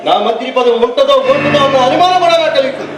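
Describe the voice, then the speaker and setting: An actor playing a scheming, vengeful government minister in a Telugu stage play, declaiming emphatically. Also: male voice, very high-pitched, very fast, heavy background noise. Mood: worried. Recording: echoey stage recording with loud music, crowd.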